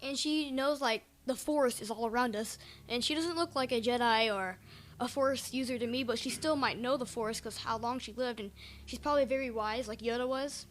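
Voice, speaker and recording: A woman chatting casually as a podcast panelist, halting and repeating phrases, with lots of filler words. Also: fairly high pitch, a little hiss or hum. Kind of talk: casual fan chat.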